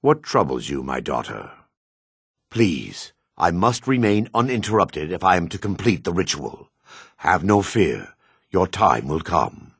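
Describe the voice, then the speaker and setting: An actor playing an older dark elf priest in a video game, grave, devout and weary, with a gravelly, husky voice and solemn, formal phrasing. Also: male voice, lowish voice, no echo, studio quality, full character voice. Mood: solemn, patient. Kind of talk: video game character acting.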